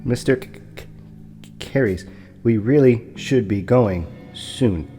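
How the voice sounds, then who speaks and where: Man playing a slow, stammering, anxious broker. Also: medium pitch, warm tone, faint music behind. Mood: apologetic, nervous. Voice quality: stammering on consonants. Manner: formal, halting, deferential. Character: large muscular male property broker, meek, anxious, courteous. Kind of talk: audio drama acting.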